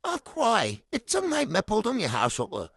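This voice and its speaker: Woman playing a pompous, husky, theatrical boss. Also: mid-range voice, huge pitch swings, normal speed, a bit bright, dry recording, full character voice. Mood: delighted. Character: dramatic, demanding boss who talks in abstract riddles. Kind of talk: comedic radio drama acting.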